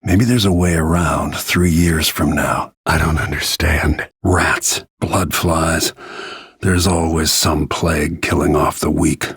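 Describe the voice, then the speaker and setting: An actor playing a grim, vengeful middle-aged assassin in a video game, brooding in a gravelly, husky voice with low-key menace. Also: male voice, fairly even intonation, takes it slowly, a bit bright, no echo, studio quality, exaggerated voice. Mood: grim, determined. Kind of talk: dramatic game voice lines, brooding inner monologue.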